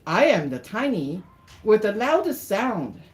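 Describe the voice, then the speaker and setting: A woman's voice: mid-range voice, sing-song, unhurried, voice pushed up. A woman reading a rhyming picture book aloud as its theatrical narrator, in a declamatory singsong. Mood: storytelling. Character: storybook narrator of mice and a villainous cat, theatrical.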